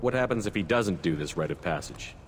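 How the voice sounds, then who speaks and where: A man playing a calm human military commander in a video game, speaking plainly and directly. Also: deep voice, brisk. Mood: businesslike. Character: human male military starship commander, calm, steady, loyal. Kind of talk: video game cutscene acting. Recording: faint background noise.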